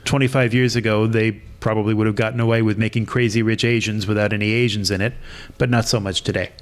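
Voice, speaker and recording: Low voiced guy chatting fast on a podcast intro, wry and brisk. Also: little pitch movement, clear, resonant tone. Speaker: podcast co-host. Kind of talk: casual chat introducing a clip.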